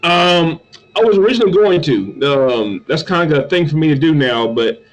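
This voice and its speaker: A man from North Carolina talks as a guest in a relaxed interview, tripping over his words and repeating them often. His voice sits a bit higher than most men's. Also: normal speed, highs cut off. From North Carolina, USA. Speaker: guest, toy reviewer. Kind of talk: casual interview chat.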